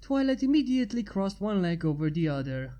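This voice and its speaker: A woman's voice: mid-range voice, very animated, fairly quick, dark tone. A woman narrating a scripted piece of fan fiction as its reader.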